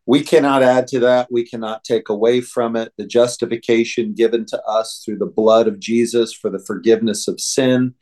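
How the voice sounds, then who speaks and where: An American man co-hosting a religious podcast, teaching in a deliberate, halting way. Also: medium pitch, steady tone, darkish tone.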